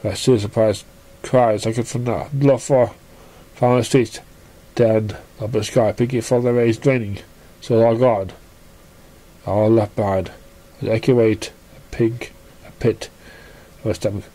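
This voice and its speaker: A man reading a fan fiction story aloud as narrator, haltingly, stumbling over words and starting lines again. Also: lowish voice, fairly even intonation, mellow. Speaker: amateur story reader.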